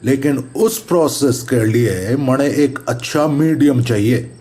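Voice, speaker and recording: Man acting methodical ghost investigator, Haryanvi-Rajasthani accent, serious, slow deliberate delivery, mid-pitched, audio horror drama. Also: dark tone, close to an everyday voice. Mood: matter-of-fact, firm. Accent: Haryanvi-Rajasthani rural Hindi. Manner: deliberate, instructive. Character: methodical, serious male paranormal investigator, neighbourhood elder brother.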